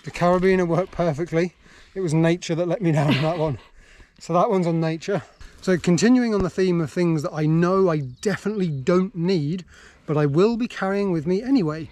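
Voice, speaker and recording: A man's voice: high voice, a little fast, darkish tone. An English man chatting casually and self-mockingly on a hike, filmed outdoors on a handheld camera. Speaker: vlogger. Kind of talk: casual vlog chat while hiking. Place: outdoors on a hill walk.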